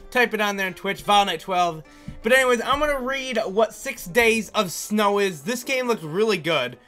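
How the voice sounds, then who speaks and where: A man's voice: high-pitched, expressive, moderate pace, bright, forceful tone, faint music behind. A man hosting a gaming video, casually commenting and reading game text aloud, sometimes stumbling over words.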